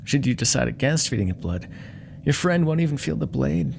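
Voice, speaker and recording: A man's voice: mid-range voice, expressive, brisk, gravelly, background noise. A man voicing a vain, menacing demon crime lord in an ASMR audio roleplay, silky, theatrical and condescending, with cool threats under mock affection. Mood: calm, calculating.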